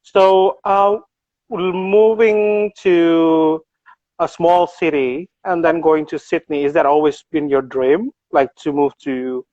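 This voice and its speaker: A man's voice: fairly high pitch, little pitch movement, takes it slowly, a little mellow, no echo. An Indonesian man hosting a casual live stream from his backyard, speaking Indonesian-accented English, friendly and given to fillers. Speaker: host, country manager. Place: backyard outdoors. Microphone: phone held in hand. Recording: dull lo-fi phone audio.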